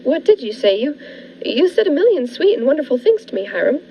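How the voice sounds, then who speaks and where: A woman's voice: sits high, sing-song, fairly quick. A woman playing a naive, nervous newlywed bride in an old-fashioned radio thriller, speaking in genteel, dramatic, hesitant phrases. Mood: nervous, frightened. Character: naive, fluttery newlywed bride turning anxious and pleading. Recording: muffled lo-fi radio play, noisy background.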